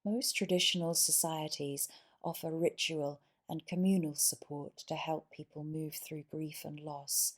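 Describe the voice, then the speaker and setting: A woman leading a guided yoga nidra meditation in a soothing, measured way, pausing between short phrases. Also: deep voice, steady tone.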